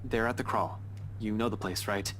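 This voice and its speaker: Game role: male actor as resolute police detective, low voice, blunt, firm, protective. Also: steady tone, normal speed, very noisy, natural voice. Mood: grave, resolute. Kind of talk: visual novel game voice acting.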